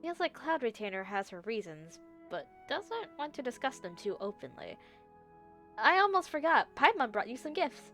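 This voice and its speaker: A woman's voice: on the high side, big pitch swings, moderate pace, a bit bright, soft background music. A woman livestreaming a game, reacting playfully and reading dialogue aloud. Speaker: game streamer.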